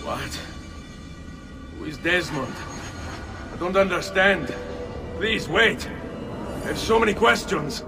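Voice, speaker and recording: An actor playing a bold young assassin in a video game, proud and earnest, with formal phrasing. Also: male voice, high-pitched, expressive, slow, gravelly, long pauses, exaggerated voice. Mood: bewildered. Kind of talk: dramatic video game acting. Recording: loud game music behind voice.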